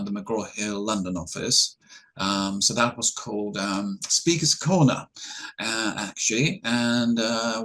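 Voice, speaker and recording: A man's voice: on the low side, a bit bright, poor audio. Hesitant man, chatty. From Norway. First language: Norwegian. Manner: hesitant, many ums and uhs, chuckles, self-deprecating. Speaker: interview guest. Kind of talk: relaxed conversational answers.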